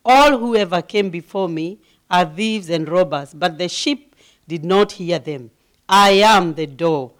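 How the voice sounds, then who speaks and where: A middle-aged woman from Kenya with a deep voice, preaching as a guest speaker, humble and given to laughing, growing loud and emphatic as she reads scripture. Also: a little slow. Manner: grows loud and emphatic when reading scripture. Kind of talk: sermon.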